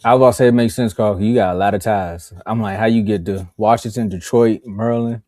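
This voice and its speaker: A man's voice: fairly low pitch, fast talker, dry recording, clean studio sound. A man co-hosting a sports talk show, joking and teasing in casual chat. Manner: animated, jokey.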